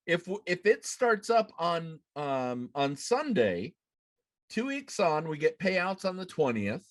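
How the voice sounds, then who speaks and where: Slow, animated man hosting a podcast. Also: fairly high pitch, dry recording. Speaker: podcast host. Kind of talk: casual gaming discussion. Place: room at home, on a video call.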